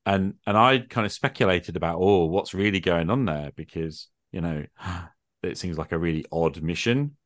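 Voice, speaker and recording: A man talks in a low register, recorded dry with little room sound. He muses in a casual, reflective way, feeling for his phrasing and tossing out rhetorical questions. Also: moderate pace, no echo. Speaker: podcast speaker, tabletop gamer.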